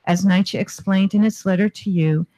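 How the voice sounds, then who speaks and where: A woman executive presenting a resolution at a board meeting, losing her voice and clearing her throat as she reads formal text. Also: medium pitch, fairly even intonation, moderate pace, dark tone.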